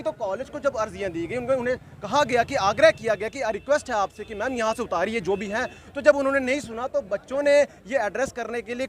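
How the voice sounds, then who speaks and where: A man giving an insistent street interview, repeating phrases as he argues a political point. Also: extremely high pitch. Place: outdoors at a street protest.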